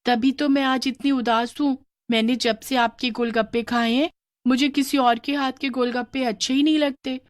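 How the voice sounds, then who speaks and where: A woman voicing a cheerful schoolgirl who loves street snacks, bubbly and excited. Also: sits high, moderate pace, dry recording, studio quality, pitched above the performer's own voice, close to an everyday voice.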